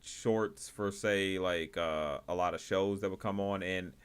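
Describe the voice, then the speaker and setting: A man hosting a livestream, giving casual, rambling commentary in a halting way, often repeating and rephrasing himself. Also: deep voice, steady tone, clear, resonant tone. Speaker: livestream host reviewing indie animation.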